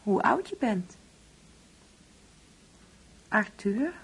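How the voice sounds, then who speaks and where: An actress playing a wistful, uneasy older mother in a Dutch radio play, speaking hesitantly and brooding, at times hushed. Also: mid-range voice, sing-song, drawn-out words, husky, full character voice. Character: wistful, uneasy ageing mother grieving an absent son.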